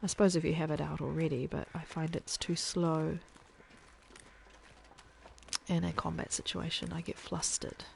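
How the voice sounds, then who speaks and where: Gravelly-voiced woman streamer chatting. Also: deep voice, level tone, brisk, a little hiss or hum. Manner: chatty, thinking aloud, soft asides. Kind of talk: casual gameplay commentary.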